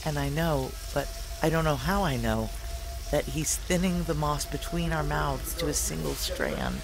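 Slow, low-voiced, intense woman soldier. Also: very animated, creaky, music in the background, full character voice, poor audio. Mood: eerie calm. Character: tough woman soldier merged with alien moss, awed and exultant. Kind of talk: first-person audio drama narration. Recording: loud sizzling sound effects behind voice.

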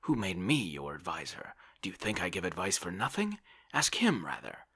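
Storybook bully voiced by a man: brash, hectoring, swooping emphatic delivery, sharp tone. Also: sits low, big pitch swings, normal speed, breathy edge, heavily acted. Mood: blustering, bossy. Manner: blustering, scolding, bossy. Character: brash, bullying, grasping Russian man, hectoring his friend. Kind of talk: audiobook character voice.

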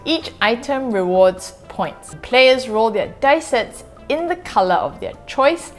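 A woman in her forties from Singapore, hosting a family board game channel with clear, measured, upbeat scripted narration recorded in a living room. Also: on the high side, lively intonation, faint music behind. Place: home living room, indoors.

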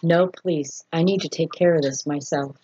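A woman's voice: sits low, steady tone, moderate pace, warm tone, close to an everyday voice. A woman reading the part of a frightened but defiant woman in a story, pleading and urgent, on a muffled recording. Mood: tearful, weak. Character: frightened, distressed young woman under attack, defiant. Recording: dull lo-fi sound with faint noise.

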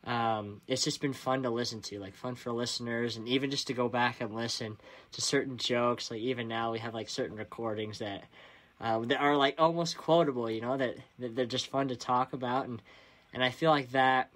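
A young man chatting casually on a podcast, easygoing and quick to laugh, with hesitant restarts. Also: medium pitch, steady tone, fairly bright tone. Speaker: podcast co-host, guest. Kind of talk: casual chat.

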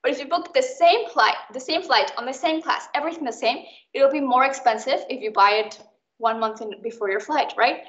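A young woman from Mexico tutors students in a chatty, informal way, talking very fast in a fairly high voice. The recording is muffled and roomy, like an online call. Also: a bit bright. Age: young adult. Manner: chatty, explains with everyday examples. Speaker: student tutor leading an exam recap. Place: indoors, online video call.

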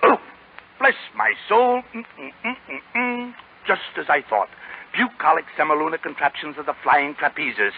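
An actor playing an oily, theatrical swindler with a raspy voice and effusive, flowery manner, in a 1930s radio drama. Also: male voice, on the high side, expressive, measured pace, heavily acted. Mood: mock alarm. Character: theatrical, oily swindler posing as a kindly friend. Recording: muffled 1930s radio recording.

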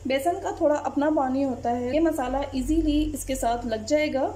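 A woman, narrating a home cooking recipe step by step in a calm voice-over as channel host. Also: sits high, moderate pace, slight background noise.

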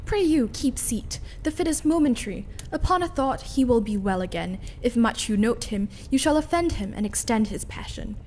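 Casting: female actor as scornful, commanding tragic queen, fairly high voice, imperious delivery, slight background noise. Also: expressive, moderate pace, few pauses, darkish tone, close to an everyday voice, rough recording. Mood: urgent composure. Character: commanding, scornful queen covering for her husband. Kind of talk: dramatic reading of Shakespearean tragedy.

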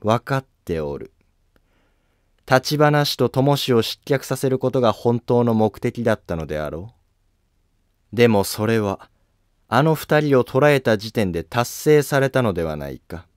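A man's voice: medium pitch, lively intonation, unhurried, no room sound, clean studio sound, lightly acted. A man playing a wary, imperious older empress dowager who speaks in curt, commanding, archaic phrases. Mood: questioning, stern.

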